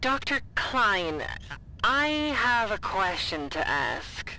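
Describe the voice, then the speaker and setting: Bright, casual, animated young woman. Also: mid-range voice, slight background noise, full character voice, rough recording. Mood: tentative. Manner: casual, halting, filler-heavy. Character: chatty, nervy young woman, remote site liaison. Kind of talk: naturalistic audio drama acting.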